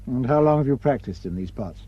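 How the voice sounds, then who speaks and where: A man's voice: medium pitch, expressive, a little fast, husky, highs cut off. A man acting a courteous, inquisitive police superintendent in a radio drama.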